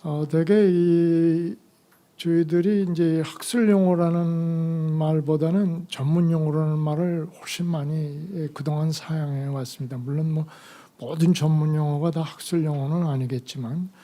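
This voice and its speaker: An older man, a retired medical professor, giving an academic lecture with a voice hoarse from a cold, in a halting delivery full of fillers. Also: fairly high pitch, fairly even intonation, warm tone.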